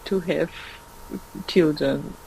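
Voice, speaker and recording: Hesitant woman learner, very slow. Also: low voice, creaky, dark tone, a little hiss or hum, low-quality recording. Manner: hesitant, searching for words, laughing often. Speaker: language learner. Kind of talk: conversation practice.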